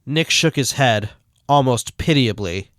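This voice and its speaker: A man's voice: medium pitch, animated, measured pace, a bit bright, no room sound, studio quality. A man reading scripted story narration as the narrator. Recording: music behind the voice.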